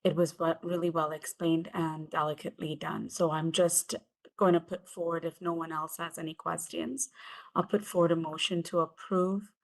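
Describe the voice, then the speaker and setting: A woman committee member making a formal motion at a hearing, measured and halting with fillers. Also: sits low, little pitch movement, creaky, rough recording.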